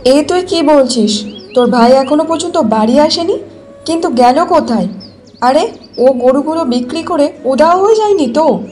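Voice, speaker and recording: A woman plays a harsh, suspicious mother-in-law from a cartoon tale. Her voice is high and mellow in tone, with threatening words and music behind it. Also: animated, moderate pace. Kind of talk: cartoon story dialogue.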